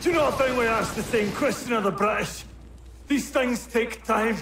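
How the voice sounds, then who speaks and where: An actor playing a dying older British officer in a video game, proud and anguished, pleading in halting, formal phrases with a strained voice. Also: male voice, very high-pitched, fairly bright tone, echoey room, slight background noise. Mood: earnest pleading. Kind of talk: dramatic video game cutscene acting.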